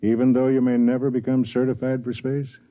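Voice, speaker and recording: An actor playing a weary, kindly middle-aged overseer of a space waystation in a 1950s radio drama, measured and reflective. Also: male voice, mid-range voice, level tone, warm tone, lo-fi sound, close to an everyday voice. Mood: gently probing. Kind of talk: 1950s radio drama acting, first-person narration. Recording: 1950s radio broadcast with music.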